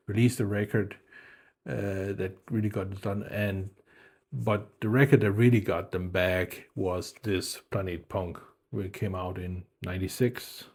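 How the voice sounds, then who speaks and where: A man's voice: sits low, a little mellow. A middle-aged man from Denmark, whose first language was German, chatting casually about his old albums, rambling with lots of fillers and restarts. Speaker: music video host.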